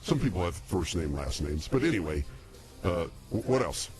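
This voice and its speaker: A man co-hosting a comedy podcast with improvised banter, speaking haltingly and repeating himself. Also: deep voice, sing-song, darkish tone, faint music behind.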